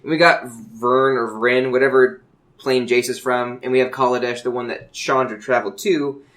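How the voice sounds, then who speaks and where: A man's voice: mid-range voice, fairly even intonation, normal speed, fairly bright tone. A man hosting a casual panel chat, explaining things in an earnest, detailed way. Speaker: podcast host. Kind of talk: casual panel chat about a card game.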